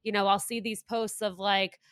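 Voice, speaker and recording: A woman's voice: medium pitch, level tone, moderate pace, a bit bright, smooth, dry recording, studio quality. A young woman hosting a podcast, talking frankly and with emphasis in a casual interview. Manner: frank and emphatic, mocks bad advice. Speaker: podcast host.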